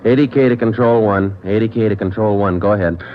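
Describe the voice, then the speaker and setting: An actor playing a terse, businesslike police detective with an American accent, narrating and talking in a clipped, deadpan way in 1940s radio crime drama style. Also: male voice, lowish voice, a little fast, slight background noise, close to an everyday voice. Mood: brisk, businesslike. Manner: clipped, matter-of-fact, deadpan. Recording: muffled vintage radio recording with music.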